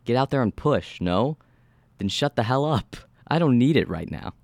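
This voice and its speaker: A man playing a stressed, irritable brother in a horror story, speaking forcefully and with exasperation. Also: deep voice, expressive, extremely slow, no room sound, full character voice. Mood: angry yelling. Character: stressed, irritable narrator hero snapping at his sister.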